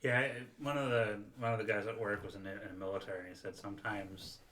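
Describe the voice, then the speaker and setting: A man in casual conversation, relaying things in a halting, hesitant way. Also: fairly low pitch, little pitch movement, a bit bright, poor audio. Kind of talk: casual chat, relaying anecdotes.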